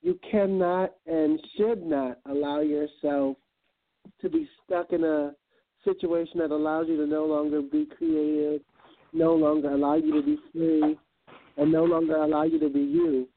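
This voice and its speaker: A man's voice: a little high, animated, normal speed, creaky. Earnest man on a tinny phone line. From Guyana. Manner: earnest and reflective, with many fillers. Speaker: guest, event planner and entrepreneur. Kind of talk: motivational interview talk.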